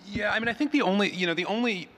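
A man's voice: high voice, rapid-fire, bright, forceful tone. A man answering questions as a panel speaker at a conference, often restarting and repeating his words. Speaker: panel speaker from an audio platform. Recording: hall reverb.

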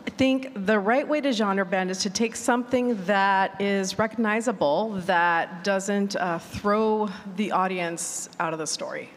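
A woman's voice: mid-range voice, a little slow, hardly any pauses. A woman giving conversational answers as a panelist at a live event. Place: large room at a live conference panel. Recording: reverberant hall, faint room noise.

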